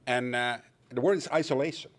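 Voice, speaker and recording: A man's voice: mid-range voice, a little fast. A man from Finland with a Finnish accent, addressing a conference audience with wry humor and questions. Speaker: conference presenter. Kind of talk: conference panel talk.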